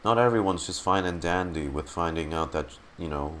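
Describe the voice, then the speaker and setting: A man streaming his casual reaction to a game, talking in a halting, exasperated way. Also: low voice, a bit bright, slight background noise. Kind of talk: casual live reaction commentary.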